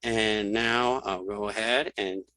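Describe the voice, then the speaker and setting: A man giving a casual software tutorial, thinking aloud as he demonstrates each step. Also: fairly low pitch, steady tone, takes it slowly, no room sound. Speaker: instructor.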